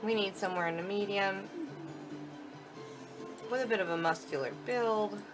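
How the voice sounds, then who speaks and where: A woman's voice: mid-range voice, moderate pace, music in the background. A woman giving a chatty, informal live walkthrough commentary of a video game, explaining as she goes. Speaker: video game demo presenter.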